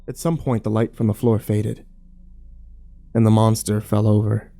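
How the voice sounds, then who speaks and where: A man's voice: on the low side, level tone, moderate pace, gravelly, mellow. A man playing a shaken, bitter survivor who tells his own story in a grim horror audio drama. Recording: music bed under the voice.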